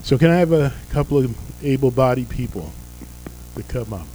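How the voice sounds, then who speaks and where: An older male pastor whose voice is fading after a busy week. His tone is mellow and dark, and he jokes with the congregation between announcements. Soft music plays faintly behind him in the sanctuary. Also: mid-range voice, lively intonation, a little fast, low-quality recording. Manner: folksy, joking asides. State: losing his voice. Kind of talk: church service announcements and prayer. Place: church sanctuary.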